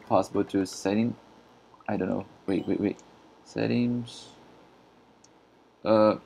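A man from Brazil whose first language is Portuguese, chatting casually in halting English with many fillers and frequent laughs while streaming a game. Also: fairly low pitch, vocal fry, mellow, slightly rough, rough recording. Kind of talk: casual live-stream commentary.

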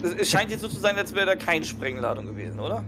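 A man's voice: high voice, big pitch swings, fast. A man chatting casually as a player in a tabletop role-playing game. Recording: music playing behind the voice. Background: background music.

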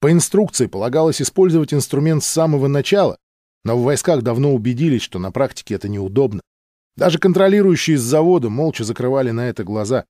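A man's voice: mid-range voice, animated, quick pace, warm tone, no echo. Male audiobook narrator, dry close sound. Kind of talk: scripted dramatic literary narration.

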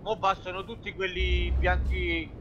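A man's voice: high-pitched, flat delivery, a little slow, lo-fi sound, heavy background noise. A man in casual gaming chat, directing others and repeating his instructions. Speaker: online truck-convoy gamer organizing the group.

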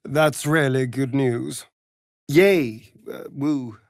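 An actor playing a sly, vain, sardonic vampire rogue in a video game, with an arch, theatrical drawl. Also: male voice, medium pitch, very slow, dry recording, clean studio sound. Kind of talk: video game character voice lines.

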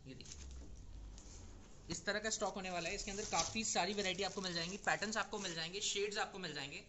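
Young male trader, brisk reassuring sales patter, noisy stockroom. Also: high-pitched, fairly even intonation. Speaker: wholesale seller presenting stock. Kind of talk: product showcase pitch to retailers. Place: clothing warehouse or shop.

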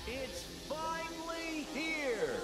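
An actor playing an excited arena announcer, proclaiming in a high voice from afar. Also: male voice, very slow, roomy sound, recorded from a distance, loud music behind the voice, heavily acted. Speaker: tournament announcer.

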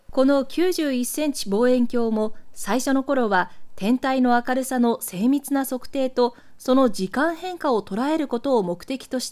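A woman with a fairly high voice narrates archival news footage. She reads from a script in a measured, explanatory manner, enunciating each phrase clearly. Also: a little mellow.

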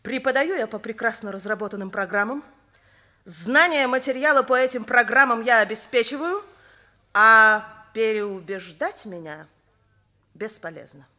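A shrill, indignant older schoolteacher. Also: female voice, expressive, normal speed, bright, forceful tone. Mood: cold, firm. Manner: emphatic, lecturing, didactic. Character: rigid, indignant older schoolteacher woman, principled and dogmatic. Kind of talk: Soviet radio theatre acting.

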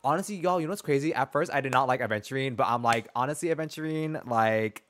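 A man, chatting casually as a game streamer in an expressive, sassy, slangy way. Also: mid-range voice, quick pace. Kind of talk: casual chat and reaction to a story game. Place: small dim room indoors.

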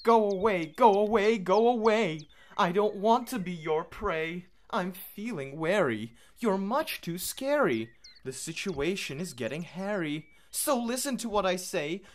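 Woman voicing a grumpy, shrill scolding bird. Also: lively intonation, measured pace, a little mellow, natural voice. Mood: alarmed, shouting. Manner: shrill, emphatic, singsong rhyming. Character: grumpy, scolding female grey bird, loudly shooing.

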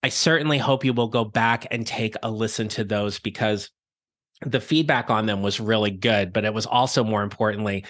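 Raspy-voiced man hosting a podcast. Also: fairly low pitch, steady tone, a little fast, a bit bright, no room sound, studio quality. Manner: upbeat, encouraging, conversational. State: raspy voice after illness. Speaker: podcast host. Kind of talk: solo career-advice podcast.

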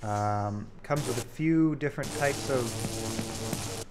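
A man giving a casual, halting screen-recorded demo as a game developer, thinking aloud as he goes. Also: lowish voice, loud music behind the voice. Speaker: game developer showing a project.

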